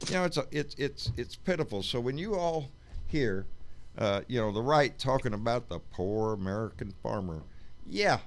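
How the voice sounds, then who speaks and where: A man's voice: medium pitch, lively intonation, normal speed. Blunt, profane man hosting. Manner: rambling with repeated restarts. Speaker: host. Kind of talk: casual live-stream chat.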